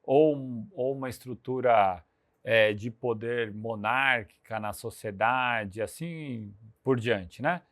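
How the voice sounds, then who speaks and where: A man hosting a podcast interview, opening the episode and asking questions in an energetic, conversational way. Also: medium pitch, takes it slowly. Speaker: podcast host.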